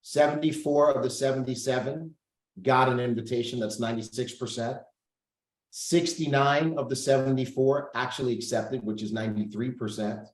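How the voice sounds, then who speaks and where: A man's voice: mid-range voice, steady tone, normal speed, reverberant, rough recording. A man hosting a casual group coaching call over video, upbeat, thinking aloud and reading out figures. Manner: upbeat, reads out numbers, thinks aloud while clicking.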